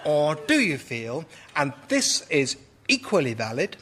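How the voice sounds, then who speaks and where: Exasperated male therapist, lively and highish. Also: measured pace, slightly rough, full character voice. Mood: strained patience. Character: exasperated male therapist straining to stay neutral. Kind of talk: radio sketch comedy acting.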